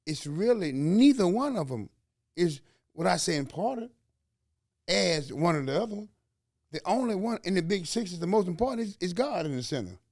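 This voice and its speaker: A man's voice: high voice, darkish tone, no echo. Southern guy from alabama hosting a podcast, folksy and preachy, lots of "you follow me", dry sound. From Alabama, USA. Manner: folksy, preachy, full of rhetorical questions and slang. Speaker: podcast host. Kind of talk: motivational podcast talk.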